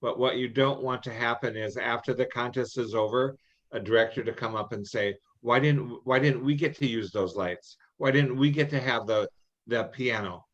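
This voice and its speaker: A middle-aged man giving informal, folksy advice in an online meeting, halting and repeating himself as he explains. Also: mid-range voice, fairly bright tone. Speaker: experienced contest judge and adviser. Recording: muffled, band-limited online meeting audio.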